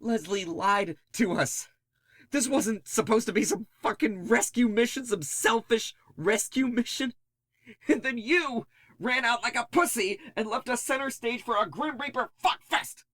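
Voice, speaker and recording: A man plays an enraged woman fighter in a horror audio drama, her voice pitched high and sharp. She curses and accuses, raw with betrayal, in a close, dry recording. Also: measured pace, no echo. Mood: furious, betrayed. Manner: shouting, profane, accusing. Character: furious foul-mouthed woman, betrayed and wounded fighter. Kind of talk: dramatic audio fiction monologue.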